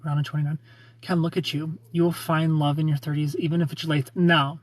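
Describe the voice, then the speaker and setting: A man in his thirties hosts a casual livestream, greeting viewers and reading their comments aloud. He jokes at his own expense and laughs often. His voice is slightly raised in pitch and a little husky. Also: level tone, fairly quick, dry recording. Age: 30s. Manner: joking, self-mocking, laughs often. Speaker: livestream host.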